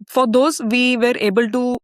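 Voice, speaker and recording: A woman with an Indian English accent hosts a marketing podcast in a fairly high voice. She asks her questions in a measured, careful way and explains at length, often restarting her sentences. The sound is close and dry. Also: fairly even intonation, no room sound. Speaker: podcast host. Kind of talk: podcast interview.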